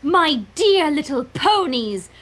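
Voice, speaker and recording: A woman's voice: high voice, big pitch swings, slow, fairly bright tone, full character voice. A woman voicing a vain, grandiose unicorn villain from a cartoon story in a theatrical, gloating manner.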